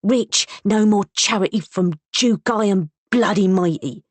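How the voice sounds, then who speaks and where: A woman voicing a surly, injured rough man who mumbles gruffly and snaps savagely. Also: medium pitch, unhurried, dry recording, voice pushed up, natural voice.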